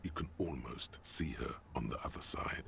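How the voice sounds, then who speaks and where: An actor voicing a grave, wry male inner-voice narrator for a game, measured and dry. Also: a bit bright, a little hiss or hum, exaggerated voice. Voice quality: deep gravelly. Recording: game audio with clear music.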